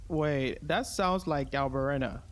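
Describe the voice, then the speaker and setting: A man's voice: medium pitch, measured pace. A man chatting informally as a live streamer, in a hesitant, trailing way. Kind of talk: casual live stream chat.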